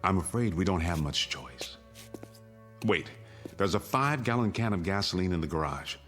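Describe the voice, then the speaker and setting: Radio drama father, American, middle-aged: low, very animated voice, commanding yet steadying. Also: normal speed, faint music behind. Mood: steadying, tense. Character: urgent, steadying father and husband taking charge.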